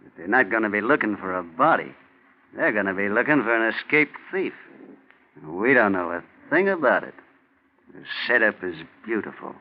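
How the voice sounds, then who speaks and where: An actor playing a confident, cold-blooded crook, insistent and hard-boiled, in a 1940s radio drama. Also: male voice, lowish voice, sing-song, moderate pace. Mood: smug, scheming. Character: cocky, cold-blooded male crook, scheming ringleader. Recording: dull 1940s radio recording.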